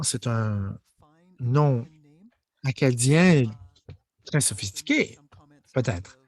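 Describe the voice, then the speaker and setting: A man giving live interpretation of an online panel discussion. He often breaks off mid-sentence and rephrases as he goes. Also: medium pitch. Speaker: simultaneous interpreter.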